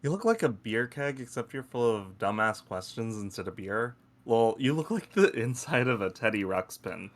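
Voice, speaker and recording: A man's voice: mid-range voice, normal speed, few pauses, lightly acted. A man playing a crude, teasing space probe who loves football and shows off as he explains, in a table-read audio drama. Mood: mocking. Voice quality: animated, swooping delivery. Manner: foul-mouthed, teasing, showy explainer.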